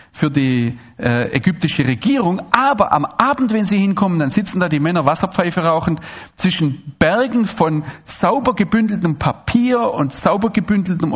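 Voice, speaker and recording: A man addresses an audience in a lively, rambling way, circling back and restarting his sentences. His voice sits a little high for a man. The recording is dull and lo-fi. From Swabia, Germany. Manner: animated, digressive, frequent restarts and asides. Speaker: speaker giving a public talk. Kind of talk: lecture to an audience.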